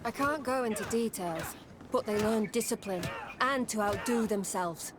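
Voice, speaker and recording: A woman's voice: a little high, moderate pace, natural voice. An actress playing a disciplined female military instructor in a video game, formal and respectful. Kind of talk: video game cutscene acting. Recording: outdoor ambient noise throughout.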